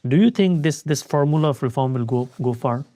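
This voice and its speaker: Quick-talking male interview host, dry sound. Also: medium pitch, mellow, dry recording. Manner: formal, polite introductions. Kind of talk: interview hosting.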